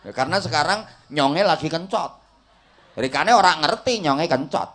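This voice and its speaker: A man's voice: fairly high pitch, lively intonation, normal speed, fairly bright tone. A man with a Javanese accent giving a humorous Islamic sermon in a folksy, rhetorical way, often checking that his listeners follow. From Java, Indonesia. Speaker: Islamic preacher giving a talk to a congregation.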